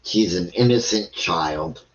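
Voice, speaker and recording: A man's voice: low-pitched, slow, highs cut off. A man giving blunt, scornful livestream commentary, swearing freely as he reacts. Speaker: live stream commentator.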